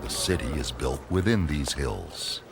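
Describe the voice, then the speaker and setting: A man narrating a scripted radio drama as a storyteller, with deliberate phrasing. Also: rumbling low, expressive, creaky. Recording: loud music under the voice.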